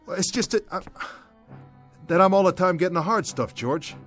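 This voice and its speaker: An actor playing a nervous, meek small-time crook, stammering and pleading in a radio drama. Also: male voice, sits high, lively intonation, normal speed, a bit bright. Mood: anxious, whining. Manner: stammering, repetitive, anxious, pleading. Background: music.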